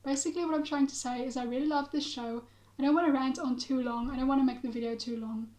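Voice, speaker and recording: A young woman with a raspy, hoarse voice from being sick, chatting casually and gushing as she reviews a show. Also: high-pitched, fairly even intonation, quick pace, warm tone. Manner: gushing, chatty, with many fillers.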